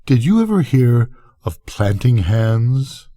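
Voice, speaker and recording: A man's voice: mid-range voice, sing-song, slow, mellow, pitched above the performer's own voice. An older man reading a story, voicing an eager, intense farm woman who is passionate about her flowers.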